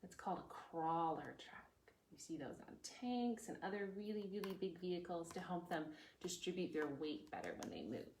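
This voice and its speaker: A woman's voice: on the low side, big pitch swings, moderate pace. A woman hosting a preschool storytime, reading aloud and chatting expressively, with lots of questions for her young listeners. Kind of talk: children's read-aloud with chatty explanations. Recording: echoey room with background noise.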